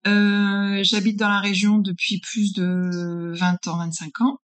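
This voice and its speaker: A woman's voice: mid-range voice, flat delivery, takes it slowly. A woman in her forties from northern France, answering interview questions as a consultant, explaining conversationally and checking in as she goes. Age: 40s. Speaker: interviewed guest, business incubator consultant.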